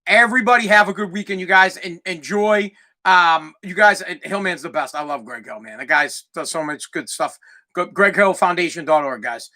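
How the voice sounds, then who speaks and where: Loud, brash Boston man ranting. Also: high voice, normal speed. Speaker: podcast host. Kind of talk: solo podcast rant.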